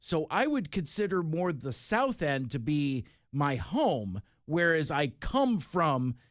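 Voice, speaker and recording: A man's voice: on the high side, big pitch swings, slow pace, dry recording, clean studio sound. A man from Seattle, a radio guest, chatting casually and reflectively, illustrating points with quoted examples. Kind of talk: casual conversation.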